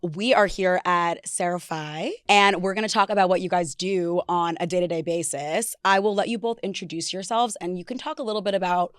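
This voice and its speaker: Woman host prompting guests, lowish voice. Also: fairly even intonation, fairly quick, hardly any pauses, smooth, no echo, clean studio sound. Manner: friendly prompting with short affirmations. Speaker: podcast host. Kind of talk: casual interview. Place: office.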